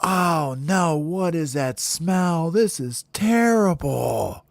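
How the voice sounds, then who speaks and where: A man voicing disgusted, tattling young bird campers in a children's story with whiny, drawn-out complaints. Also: on the high side, animated, vocal fry, no echo, clean studio sound, pitched above the performer's own voice, full character voice. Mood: disgusted, accusing.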